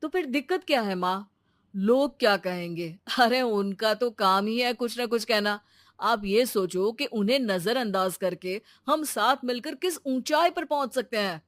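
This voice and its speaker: A woman playing a supportive, reasonable adult son in a cartoon story, talking in a calm, reassuring way. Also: fairly high pitch, a little slow, no echo, studio quality. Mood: concerned, reassuring. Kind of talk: Hindi cartoon story dubbing.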